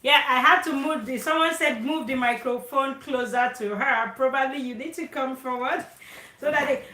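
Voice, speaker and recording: A woman hosting a live stream who talks loudly and briskly, calling herself a talker. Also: sits high, roomy sound. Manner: loud, talkative and brisk. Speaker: host.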